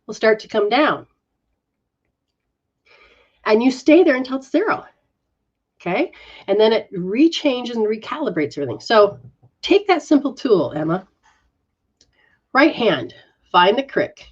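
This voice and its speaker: A woman healer talks a listener through a live reading in a gentle, coaching way, looping back over her words. Her voice sits at an ordinary middle pitch. The audio is dull and muffled, like a lo-fi stream. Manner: soft, coaching, repetitive phrasing. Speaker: metaphysical practitioner giving readings. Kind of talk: live guided reading and healing session.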